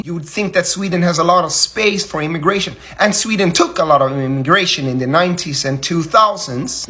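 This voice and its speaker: A man's voice: fairly high pitch, bright, forceful tone. A man giving blunt, opinionated commentary and asking rhetorical questions. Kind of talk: opinionated talking-head commentary.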